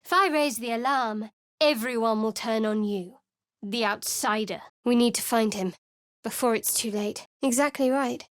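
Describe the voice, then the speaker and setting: An actress voicing a guarded, sardonic young woman cleric of a dark goddess in a video game, speaking in a cool, dry and wary way. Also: a little high, moderate pace, no room sound, lightly acted. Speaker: game companion character.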